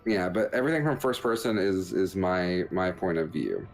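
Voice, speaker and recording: Low-voiced man casually talking through a game. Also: lively intonation, normal speed, soft background music, rough recording. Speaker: podcast host reviewing a video game. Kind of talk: casual commentary over gameplay footage.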